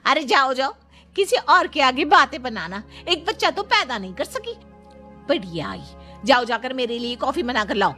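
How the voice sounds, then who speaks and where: A woman puts on a haughty young maid in a moral story. She is piercingly high and bright, whining and taunting with animated swings, music behind her. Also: moderate pace, heavily acted. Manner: taunting, whiny, bossy. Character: haughty young housemaid turned mistress, scheming and whiny.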